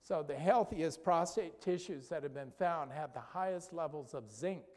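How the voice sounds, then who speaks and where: A man's voice: fairly high pitch, moderate pace, a little mellow. A man giving a health lecture to an audience in a church, talking conversationally, quizzing the listeners and ending points with "okay?". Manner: conversational, asks the audience questions, tag "okay?". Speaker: doctor giving a health talk. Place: church hall. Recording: long hall reverb.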